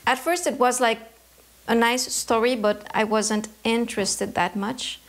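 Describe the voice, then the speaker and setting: A woman from Canada, an embroidery artist, answering interview questions in a thoughtful way, often pausing to search for words. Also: a little high, fairly even intonation.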